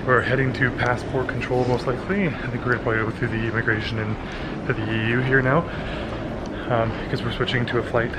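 Chatty man vlogging over loud noise. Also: medium pitch, fast. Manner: chatty, relaxed, many fillers. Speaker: travel vlogger. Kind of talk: casual vlog commentary. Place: airport terminal and plane cabin. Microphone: handheld camera at arm's length.

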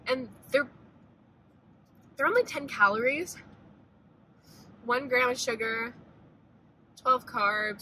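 A woman's voice: on the high side, pushed and bright, slight background noise, poor audio. A young woman vlogger chatting casually about a shopping haul, upbeat, with lots of "like" and "um", filmed on a phone at arm's length in a parked car. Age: young adult. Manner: chatty, upbeat, many "like" and "um" fillers. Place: parked car, then a big store. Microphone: phone camera at arm's length.

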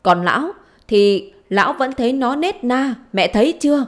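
A woman's voice: medium pitch, lively intonation, normal speed, close to an everyday voice. A woman voicing a spiteful, foul-mouthed middle-aged village wife in a story, scolding, wailing and taunting. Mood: bitter complaint.

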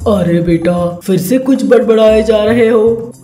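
Cartoon father, woman performing: older, sleepy, querulous, dark soft tone, echoey. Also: fairly high pitch, measured pace, lightly acted. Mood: oblivious. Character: drowsy, hard-of-hearing older village father. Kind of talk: cartoon story dialogue. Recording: roomy echo, music underneath.

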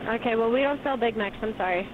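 A woman working at a fast-food place, handling a customer service call over the phone. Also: fairly high pitch. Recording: tinny phone line, faint noise.

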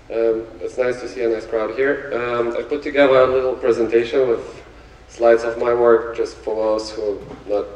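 A man with a Lithuanian accent talks loosely from a theater stage, with plenty of ums between thoughts. His voice sits at an ordinary pitch and comes from some distance off the mic. The sound rings around a large, echoing hall. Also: muffled recording. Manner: relaxed, searching for words with many fillers. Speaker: guest artist. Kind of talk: stage talk with slides. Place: theater stage before an audience. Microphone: handheld mic, recorded from afar. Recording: echoey theater from far off.

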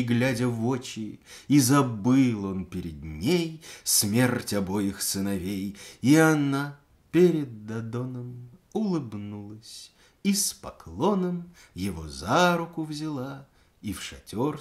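A man narrating a verse fairy tale in a measured, rhythmic, expressive way. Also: medium pitch. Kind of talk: storybook verse reading.

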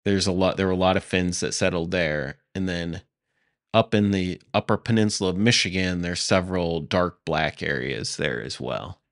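A man with a low, deep voice walks through history in an easy, matter-of-fact way, like a podcast host talking over a map. The sound is dry and close, with no room echo at all. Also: moderate pace. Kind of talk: casual explanatory talk over maps.

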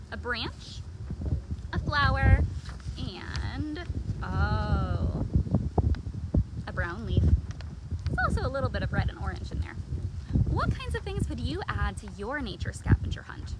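An American woman leads a children's storytime in a high, very lively voice. She takes her time, speaking expressively as if to small children and often posing questions. It is recorded outdoors on a phone, with clear background noise. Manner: animated and expressive, with dramatic gasps, whispers and questions. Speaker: children's librarian hosting an online storytime. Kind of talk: children's storytime, read-aloud and chat with young viewers. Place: outdoors in a backyard. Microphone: handheld or propped phone at arm's length.

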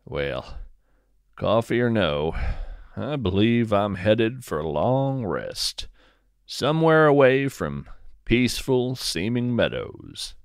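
Slow, expressive male storyteller. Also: mid-range voice, no room sound, clean studio sound. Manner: deliberate, descriptive, measured phrasing. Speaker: host and narrator of an audio fiction podcast. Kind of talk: scripted first-person storytelling narration.

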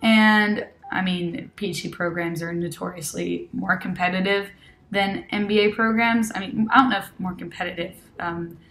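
A woman's voice: lowish voice, fairly even intonation, moderate pace, fairly bright tone, rough recording. Chatty young woman, American-leaning accent. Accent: American-leaning English. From Argentina. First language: Spanish. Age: 20s. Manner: chatty, many fillers and self-corrections, laughs often. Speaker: test-prep student giving a testimonial. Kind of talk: casual testimonial. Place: small indoor room with red wall.

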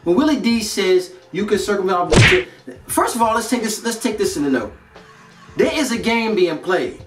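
A man's voice: sits high, big pitch swings, a little fast. Echoey, emphatic American man talking motivation. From North Carolina, United States. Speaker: host of a motivational video series. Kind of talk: casual motivational talk to camera. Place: indoor studio with plain grey backdrop. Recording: roomy echo, distant mic, music behind.

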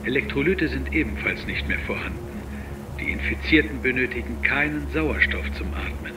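A man's voice: mid-range voice, lively intonation, over music, close to an everyday voice. An actor playing a grave male virologist who dictates a formal, clinical audio log in a German audio drama. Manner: formal, clinical, measured delivery.

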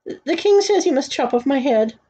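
A woman's voice: lively intonation, normal speed, dark tone. A woman voicing an earnest, plaintive young boy in a storybook read-aloud. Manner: high, earnest delivery.

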